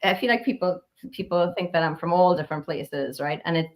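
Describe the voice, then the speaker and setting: Trinidadian woman, animated and low-toned. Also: slight background noise. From Trinidad and Tobago. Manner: animated, laughs often, quick restarts. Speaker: guest counselor. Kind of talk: casual webinar conversation.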